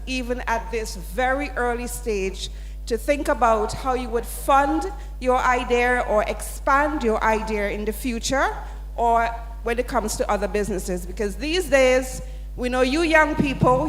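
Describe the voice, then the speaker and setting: Woman, upbeat, coaxing, audience address, long hall reverb. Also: on the high side. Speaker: sponsor representative presenting at a student event. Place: large hall.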